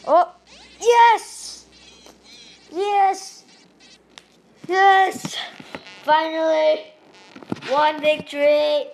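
A high-voiced woman with a Malaysian accent, talking at an unhurried pace between bursts of excited yelps at a game she is playing. She records straight into a tablet held up to her face, with faint game music playing behind her. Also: creaky. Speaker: gaming channel host. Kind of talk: let's play commentary. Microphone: tablet microphone held to face.

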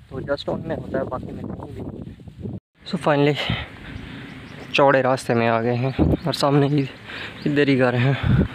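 A young man in his twenties walking through farm fields and casually explaining what he is doing while filming himself on a phone. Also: medium pitch. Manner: casual and explanatory, mixes English words into his talk. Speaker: vlogger. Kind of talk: casual walking vlog narration. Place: outdoors among sugarcane fields and dirt paths. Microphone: phone camera held at arm's length. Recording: outdoor phone audio with clear background noise.

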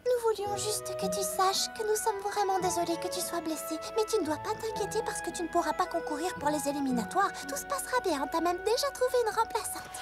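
A woman's voice: very high-pitched, expressive, fairly quick, few pauses. A woman voicing a sweet, caring young female pony with a soothing, apologetic manner, in a French cartoon dub. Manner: soothing, solicitous. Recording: music bed under the dialogue.

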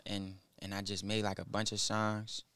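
A nineteen-year-old man, a rapper guest in a small studio, speaking haltingly into a boom mic with many fillers. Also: completely flat delivery, sharp tone, clean tone, no room sound. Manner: halting, mumbled, full of fillers. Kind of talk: casual interview. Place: small studio with foam wall. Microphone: studio mic on boom arm, headphones.

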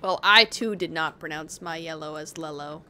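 A woman's voice: low voice, moderate pace, pushed and bright. A young woman streaming a game with a friend over a headset, chatting playfully and swearing when things go wrong. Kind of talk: casual gaming chat with a friend. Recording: faint background noise.

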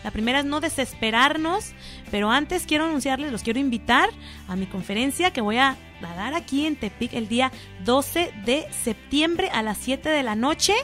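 A woman's voice: a little high, fairly quick, fairly bright tone, faint music behind. A middle-aged Mexican woman hosting a talk show, chatting casually with a guest and telling personal stories to her audience. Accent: Mexican Spanish. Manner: chatty, personal anecdotes, addresses audience as friends. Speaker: show host.

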